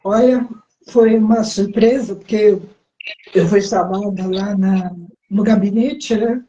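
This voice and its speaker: Hoarse woman on a muffled call. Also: mid-range voice, steady tone, mellow, a little hiss or hum. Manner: halting, brief answers. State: hoarse, losing her voice. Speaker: interview guest, activist. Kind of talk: casual conversational interview. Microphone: remote call over a live stream.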